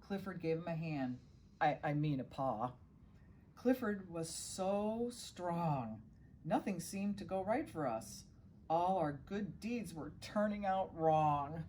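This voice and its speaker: Middle-aged woman, lowish voice, children's story reading, small stumbles, audible background noise. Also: animated, takes it slowly, mellow. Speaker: guest children's story reader.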